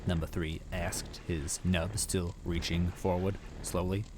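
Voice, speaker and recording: A man's voice: deep voice, flat delivery, a little slow, noisy background. A man narrating a story aloud in a dramatic first-person style.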